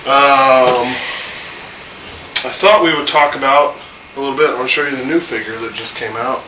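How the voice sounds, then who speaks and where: A man's voice: medium pitch, normal speed, pushed and bright, far from the mic. Man, 30s, head cold, loose chatty host, echoey room, mic set back, AC hum. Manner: chatty, rambling with fillers. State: has a cold, voice a little off. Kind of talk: casual home video chat.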